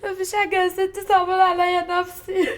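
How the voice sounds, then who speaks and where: Meek young Egyptian housemaid, plaintive, very high-pitched, talking very fast, TV drama. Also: female voice, fairly even intonation, over music. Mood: tearful self-pity. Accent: Egyptian Arabic. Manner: plaintive, tearful. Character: young housemaid, self-pitying, meek and devoted. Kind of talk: scripted TV drama dialogue.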